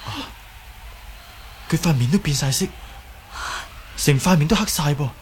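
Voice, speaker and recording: An actor playing a concerned, good-natured young martial arts hero in a Cantonese radio drama, earnest and hesitant. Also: male voice, fairly high pitch, expressive, unhurried, a little mellow. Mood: amazed, concerned. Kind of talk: Cantonese audio drama acting. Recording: clearly noisy background.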